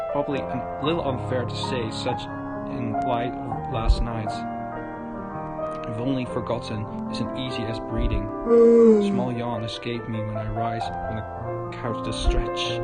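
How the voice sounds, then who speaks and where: A man livestreaming a game, reading its narration aloud haltingly with stumbles over words. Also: mid-range voice. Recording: loud game music behind voice.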